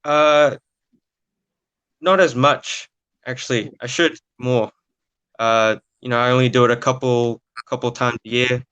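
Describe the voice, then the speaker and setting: Hesitant nineteen-year-old guy, crisp dry voice. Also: medium pitch, fairly even intonation, a little slow, long pauses, fairly bright tone, no echo, highs cut off. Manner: hesitant, many filler sounds. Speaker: podcast guest, young footballer. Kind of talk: casual interview answers.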